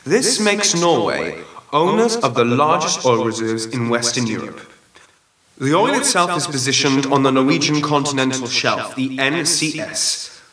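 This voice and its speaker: A man's voice: medium pitch, fairly bright tone. Roomy, distant-sounding sober male presenter. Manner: formal, matter-of-fact. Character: sober male presenter reading economic facts. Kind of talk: scripted factual narration.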